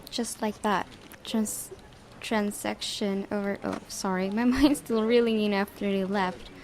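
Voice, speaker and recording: Woman, game let's-play host, expressive narration, casual giggly asides, medium pitch. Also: a little slow, slight background noise. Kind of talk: reading a visual novel aloud with casual reactions.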